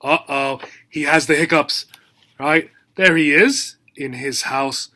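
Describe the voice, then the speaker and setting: A man reads to young children as their teacher, taking his time over simple, short phrases. He prompts his listeners with questions in an animated, instructive way, at an unhurried pace. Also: a little high. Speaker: teacher reading a picture book to young learners. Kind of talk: children's read-aloud lesson, asking questions.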